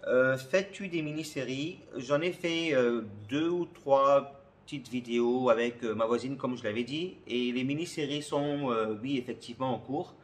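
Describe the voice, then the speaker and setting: A man's voice: medium pitch, normal speed. Hesitant man, casual vlog talk. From Côte d'Ivoire. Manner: hesitant, searching for words, repeats phrases. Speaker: comedy video creator. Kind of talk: casual question-and-answer vlog.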